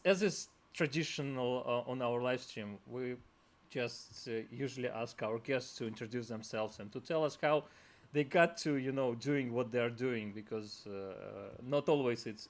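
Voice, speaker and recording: A man whose first language is Russian, hosting a live stream in a casual way, repeating words as he rambles and laughing often. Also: medium pitch.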